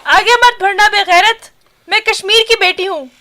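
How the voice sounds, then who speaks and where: A very high, piercing young heroine in a radio drama. She is defiant and impassioned, with a bright, forceful voice. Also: moderate pace. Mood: scornful, defiant. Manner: impassioned, declaiming. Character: defiant young Kashmiri woman facing soldiers at cliff edge.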